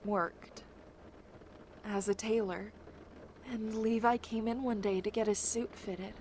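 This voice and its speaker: A woman's voice: medium pitch, steady tone, slow, a little mellow, a little hiss or hum. A woman playing a weary, touchy young survivor in a zombie audio drama. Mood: softening, reminiscent.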